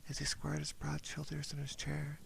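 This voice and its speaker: A man reads a story in a hushed, intimate ASMR style, sliding between whisper and soft voice. His tone is fairly high for a male but heavily creaky, with a narrow, restrained melody. Faint ambient noise sits behind the close binaural recording. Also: completely flat delivery, normal speed. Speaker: ASMR streamer reading a story aloud. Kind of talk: ASMR reading of a classic horror short story. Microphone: binaural ASMR microphone, very close.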